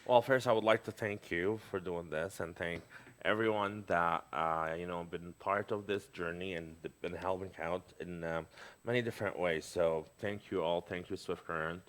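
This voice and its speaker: A man's voice: low-pitched, steady tone, moderate pace, fairly bright tone. A middle-aged man from Lebanon, talking in a casual conversation as a guest, hesitant, with many fillers and restarts.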